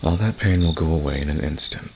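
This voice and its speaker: A man acts out a seductive fantasy villain in an intimate ASMR audio roleplay, with crickets and insects clearly audible behind him. His voice is extremely low and gravelly. He teases and flirts softly, with a caring streak underneath. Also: fast. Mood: gentle, caring. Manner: teasing, seductive, soft-spoken. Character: flirtatious, teasing male fantasy villain, secretly caring rebel. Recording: noisy, with nighttime crickets and insects. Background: cricket and insect night ambience.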